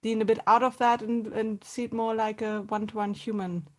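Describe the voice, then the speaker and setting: A woman's voice: a little high, little pitch movement, moderate pace. A woman chatting casually as an interview guest, thoughtful and a little hesitant as she works out her answers. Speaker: voice actor, podcast guest. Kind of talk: casual reflective chat.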